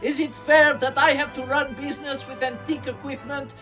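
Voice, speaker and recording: A stern female cartoon boss with a high, sharp voice. She is exacting and aggrieved, firing off emphatic rhetorical complaints over background music. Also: steady tone, fairly quick.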